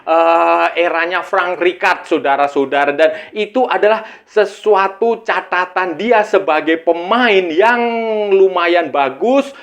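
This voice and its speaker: A man's voice: high voice, unhurried, a bit bright. A man giving casual football commentary, conversational and repetitive, often addressing his listeners directly. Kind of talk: casual opinion monologue on football news.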